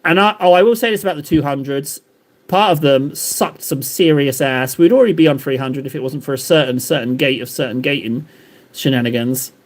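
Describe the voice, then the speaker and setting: A man's voice: medium pitch, fairly quick. A man live-streaming while he paints, rambling and joking as he banters with his chat.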